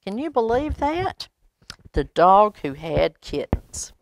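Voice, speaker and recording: An American woman reading a picture book aloud to young children in an expressive, unhurried way, with pauses and exclaimed asides. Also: medium pitch, slight background noise. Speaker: storytime reader at a library.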